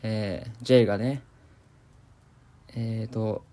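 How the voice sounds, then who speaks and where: A man giving improvised deadpan commentary as he plays a video game. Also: on the low side, slow pace. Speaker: gamer doing commentary. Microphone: voice memo recording.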